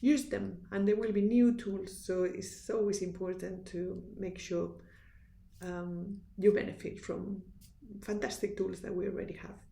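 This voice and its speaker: A woman's voice: mid-range voice, fairly even intonation, unhurried, creaky, warm tone, echoey room. A woman with a strong Spanish accent, a native Spanish speaker, giving an earnest, instructive university lecture with small restarts and fillers. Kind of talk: recorded lecture.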